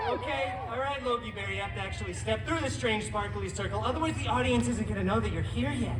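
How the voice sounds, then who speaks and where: A man's voice: sits high, quick pace, heavy background noise, lightly acted. A man playing a cheeky, theatrical superhero who tells a story on stage with sarcastic, exaggerated asides. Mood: theatrical, mocking. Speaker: live show performer. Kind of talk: theme-park stage storytelling. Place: theme park show, recorded from audience. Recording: echoey distant PA, loud music and crowd.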